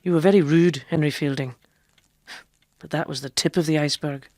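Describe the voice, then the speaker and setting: A woman's voice: sits low, fairly even intonation, fairly quick, darkish tone, close to an everyday voice. A woman acting in a period radio drama as a pompous, boastful impostor who passes herself off as a male doctor. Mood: shocked, indignant.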